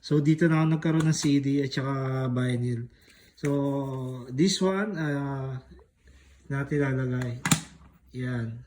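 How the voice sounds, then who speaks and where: A Filipino man talking casually in a laid-back way as a collector doing an unboxing vlog, switching between two languages. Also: a little high. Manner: laid-back, mixes Tagalog and English. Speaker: music collector vlogger.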